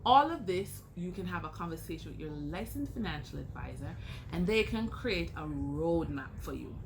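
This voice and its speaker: A woman's voice: lowish voice, lively intonation, moderate pace, gravelly, noisy background. A woman giving explanatory financial-coach style advice with emphatic phrasing. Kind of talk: scripted educational advice segment.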